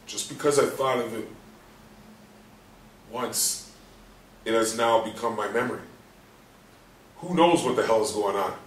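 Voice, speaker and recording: A man's voice: mid-range voice, lightly acted. A man in a staged play reading, playing a despairing, sardonic hospital patient who broods and questions himself in coarse language. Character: bitter, despairing, sardonic male hospital patient trapped in his body. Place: live stage reading in a theatre room. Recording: roomy stage, distant mic, faint noise.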